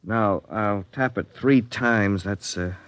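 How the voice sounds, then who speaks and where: An actor playing an earnest, deferential communications expert, polite and careful in explanation, in a 1950s radio drama. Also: male voice, on the low side, slow, a bit bright, no echo. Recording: dry, band-limited 1950s radio recording.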